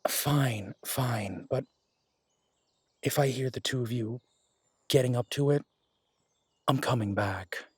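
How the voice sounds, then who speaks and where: A man playing a slow, husky, self-assured tough guy. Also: medium pitch, no echo, clean studio sound. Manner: calm, self-assured, reassuring. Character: confident easygoing adult man, hard to kill. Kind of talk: audio roleplay drama.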